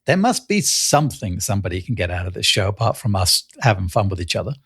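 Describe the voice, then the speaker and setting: Wry, animated man with lowish voice. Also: fast, vocal fry, slightly rough, dry recording, studio quality. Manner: wry, teasing. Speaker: podcast co-host. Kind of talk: casual banter.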